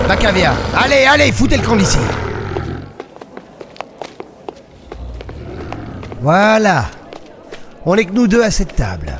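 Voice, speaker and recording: A man playing a sly, mocking alien crime boss in an audio drama, with theatrical, drawn-out phrasing. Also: high-pitched, fairly bright tone, slight background noise, heavily acted. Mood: irritated. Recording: music behind the voice. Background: dramatic music.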